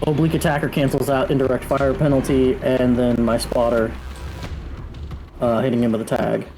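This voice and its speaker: A man's voice: mid-range voice, level tone, moderate pace, music in the background, poor audio. A man talking casually as a player in a tabletop wargame, matter-of-fact and focused on rules.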